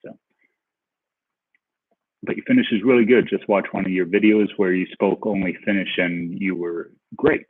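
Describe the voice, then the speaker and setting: A man hosting a casual livestream, reading chat questions aloud and answering them, often switching into halting Finnish with many restarts. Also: deep voice, fairly even intonation, slightly rough, no room sound. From Canada. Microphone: headphones with mic. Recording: tinny phone-like livestream audio.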